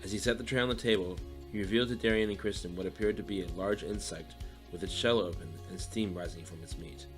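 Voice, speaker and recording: A man narrates a fiction audiobook in a fairly low voice. He reads scripted prose, and music plays clearly behind him. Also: fairly even intonation, quick pace.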